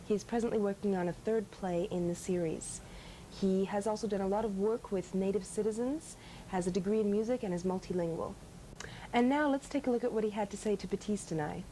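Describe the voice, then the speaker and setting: A woman host giving a scripted introduction and asking interview questions in a clear, measured way. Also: medium pitch, dark tone, slight background noise, rough recording.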